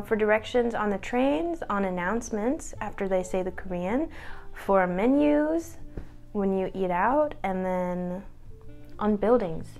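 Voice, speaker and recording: A young woman in her twenties chats to her camera at home in an easy, upbeat way, laughing at herself as she goes. Her voice sits at an ordinary middle pitch, and soft music plays faintly underneath. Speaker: vlogger. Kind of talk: casual chat to camera.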